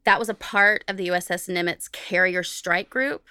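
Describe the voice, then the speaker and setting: A woman's voice: lowish voice, steady tone, moderate pace, pushed and bright, clear, resonant tone, dry recording, clean studio sound. A woman co-hosting a podcast, reading from notes in an informal, jokey way and carefully repeating technical terms. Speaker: podcast co-host.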